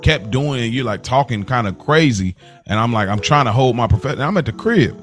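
A man's voice: mid-range voice, fairly quick, soft background music. An American man co-hosting a podcast, telling stories in casual, slangy chat and acting out quotes as he goes. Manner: animated retelling with acted-out quotes, slangy. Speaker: podcast co-host.

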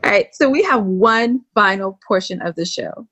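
A woman's voice: mid-range voice, lively intonation, normal speed, a bit bright, breathy edge. Teasing woman podcast host. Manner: laughs easily, teasing. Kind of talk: casual interview chat.

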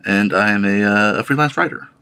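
A man, telling things casually and haltingly, repeating words as he goes. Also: fairly low pitch, gravelly, sharp tone, smooth. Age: late 30s. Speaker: podcast guest. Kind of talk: casual chat.

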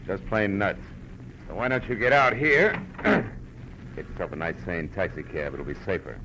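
An actor playing a wry, terse private detective in a 1940s radio drama, on an old recording. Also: male voice, low-pitched, normal speed, background noise. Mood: dry, probing. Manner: terse, dry, sardonic. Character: hard-boiled, wry male private detective. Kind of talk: 1940s radio acting, first-person narration.

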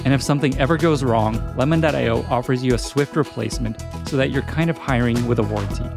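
A man's voice: medium pitch, fast talker, over music. A man hosting a podcast, reading scripted sponsor spots and asking his guest questions. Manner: smooth scripted ad delivery. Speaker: podcast host. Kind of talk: read ad spots and interview questions.

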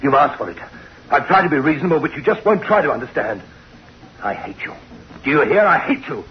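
A man's voice: fairly high pitch, sing-song, a little fast. An actor in a 1960s radio drama playing a weak, nervous husband, hesitant and stammering in his agitation. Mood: bitter, angry. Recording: muffled noisy old radio recording.

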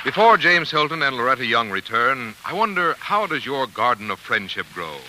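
A man's voice: mid-range voice, big pitch swings, normal speed. A man announcing commercials in polished, folksy 1940s radio style, selling directly to the listener. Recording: hissy old radio broadcast.

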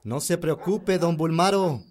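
An actor playing a young farmer and husband who speaks calmly and reassuringly. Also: male voice, fairly high pitch, a little slow. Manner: calm, reassuring. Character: young male farmer Gabriel, hopeful husband. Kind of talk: radio drama acting.

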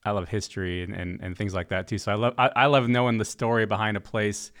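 Low, smooth-voiced host, friendly and rambling. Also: male voice, level tone, fast talker, fairly bright tone. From Massachusetts. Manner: friendly, rambling, stammering restarts. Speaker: podcast host. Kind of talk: casual podcast discussion.

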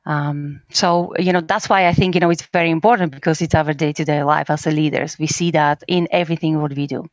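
A woman's voice: sits low, fairly even intonation, a little fast, hardly any pauses, no echo, muffled recording. A middle-aged woman from Slovakia with a Slovak accent, speaking English as a guest in a podcast interview with many fillers and tag questions. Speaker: business services team leader, guest.

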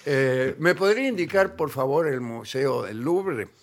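A man's voice: mid-range voice, expressive, measured pace. A man playing a pushy, boastful Argentine tourist in a radio comedy sketch. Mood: indignant. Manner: indignant and boastful. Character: pushy adult male Argentine tourist in Paris.

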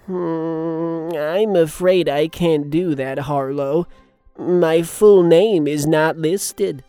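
A man playing an earnest, literal-minded radio sidekick in a formal, deadpan way for a children's audio drama. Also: fairly high pitch, very slow, smooth, no echo, soft background music. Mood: polite, pedantic.

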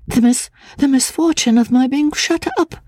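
Frail, troubled young woman: high, airy, hesitant, childlike, for a classic audiobook. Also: warm tone, no room sound. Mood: bewildered. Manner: halting, stammering, repeating words anxiously. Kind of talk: dramatic audiobook reading of classic literature.

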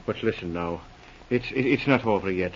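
A man's voice: fairly low pitch, normal speed, slightly rough. An actor playing a humble, earnest working man in a 1940s radio drama, flustered and stammering. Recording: dull lo-fi vintage radio recording.

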